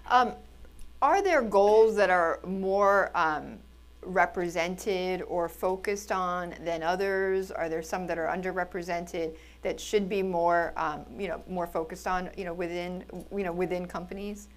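Female moderator, fairly low pitch, conversational questioning at a conference. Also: unhurried. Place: indoor conference event on a stage.

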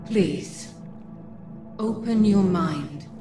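An actress voicing a calm, cryptic hooded female emissary who speaks slowly and refers to herself as "we". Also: mid-range voice. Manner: slow, measured, speaks as "we". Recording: echoey, distant, with loud music.

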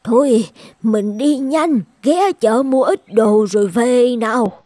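A woman voicing a kind, selfless elderly village midwife and grandmother in an animated folktale, speaking gently and earnestly. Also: fairly high pitch, slow, dry recording, clean studio sound. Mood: gently firm.

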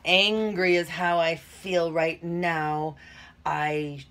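Slow-paced, expressive woman reading a picture book. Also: on the low side. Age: middle-aged. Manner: expressive, acts out feelings with emphasis and whispers. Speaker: teacher reading to young children. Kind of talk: picture-book read-aloud with chatty asides to children. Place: small cluttered room at home, indoors.

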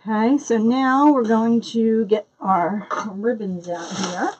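A woman's voice: fairly high pitch, steady tone, slow pace, a little mellow, breathy edge, rough recording. A woman with a Philadelphia accent hosting a live craft tutorial, chatting and greeting viewers by name as she works. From Philadelphia area, US. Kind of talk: live-stream craft demonstration.

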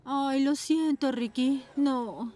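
A woman voicing a kindly, encouraging grown-up rescue motorcycle in a children's cartoon, gentle. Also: sits high, takes it slowly. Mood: reassuring. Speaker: cartoon voice actor. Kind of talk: animated children's cartoon dubbing.

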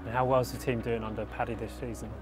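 A man's voice: medium pitch, little pitch movement, fast. A man working as a club media interviewer, putting brief, friendly questions to young players. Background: background music.

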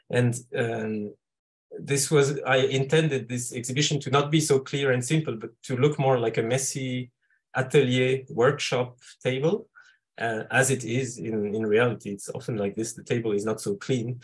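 A man from Switzerland speaking as a guest architect in an illustrated online lecture, reflective and halting as he thinks aloud. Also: medium pitch. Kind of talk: illustrated lecture over slides. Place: indoors, online video call.

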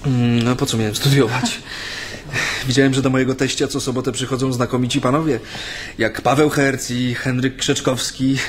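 A man's voice: mid-range voice, flat delivery, normal speed, lightly acted. An actor playing a talkative, wry dissident recounting his past to an interviewer in a radio play, with hesitant, chatty delivery. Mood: wry reminiscing. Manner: hesitant, chatty, amused storytelling. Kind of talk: radio drama acting, recounting memories in an interview. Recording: studio radio play with faint music.

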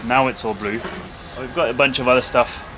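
A man in his thirties casually explaining his boat restoration to a handheld camera, relaxed and full of little fillers. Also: medium pitch, fairly quick, heavy background noise. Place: open-sided wooden workshop. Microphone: handheld camera at arm's length.

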